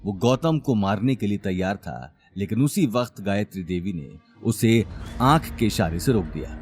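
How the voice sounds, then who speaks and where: A man's voice: lowish voice, expressive, normal speed, darkish tone, soft background music. A man narrating a scripted story in a dramatic style.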